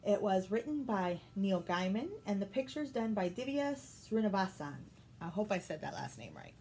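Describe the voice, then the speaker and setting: A woman's voice: medium pitch, big pitch swings, moderate pace, mellow. A woman reading a children's story aloud as a storytime host. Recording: some background noise.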